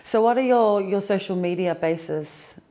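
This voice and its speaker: A woman's voice: medium pitch, measured pace, dark tone. A woman interviewing a guest, asking brief, plain questions.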